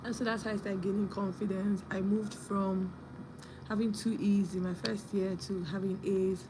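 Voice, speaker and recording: A young woman hosting a casual live stream, husky from a sore throat, telling a story and greeting viewers by name. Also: medium pitch, flat delivery, moderate pace, noisy background.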